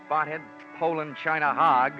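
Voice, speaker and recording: An actor playing a cocky, wisecracking American insurance investigator, wry and theatrical, in a 1940s radio detective drama. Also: male voice, fairly high pitch, steady tone, measured pace, music in the background, lightly acted. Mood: wry, deadpan. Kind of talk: 1940s radio acting, first-person detective narration. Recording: dull old radio recording.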